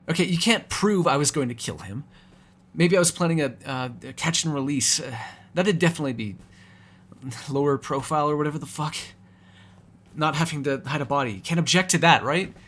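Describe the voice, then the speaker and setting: A man's voice: a little high, fairly bright tone. A man voicing a hungry, cranky, flirtatious male vampire in an ASMR audio roleplay, sarcastic and given to swearing. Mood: irritated, defensive. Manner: sarcastic, swearing, moody, shifting from murmurs to exasperated outbursts.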